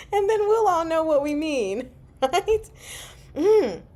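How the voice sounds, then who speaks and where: A woman hosting a casual book discussion, chatty and expressive, often pausing mid-thought. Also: very high voice. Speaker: book discussion vlog host. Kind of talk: casual live book chat.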